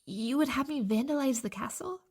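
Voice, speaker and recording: A woman playing an anxious, deferential subordinate to a captain, hushed and hesitant, in a fantasy audio drama. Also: sits high, a little fast, breathy edge. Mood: deferential, uneasy.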